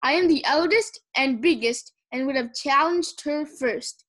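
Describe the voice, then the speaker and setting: A woman's voice: sits high, measured pace, a bit bright. A woman voicing a bossy young female dragon, the eldest and biggest, commanding and impatient in a storybook. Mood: dramatic.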